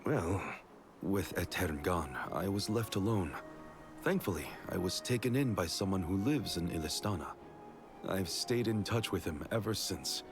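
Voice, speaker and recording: An actor playing a vigilant, reserved swordsman in a fantasy video game, speaking in a measured, formal, serious way. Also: low voice, lively intonation. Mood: calm, reflective. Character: composed, vigilant male swordsman, earnest and reserved. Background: game music.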